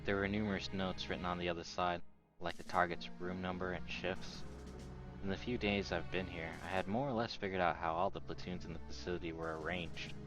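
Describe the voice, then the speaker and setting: A man with a deep voice reads scripted narration from a visual novel aloud. Music plays clearly underneath his voice. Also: flat delivery, fairly quick. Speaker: narrator reading translated game text aloud.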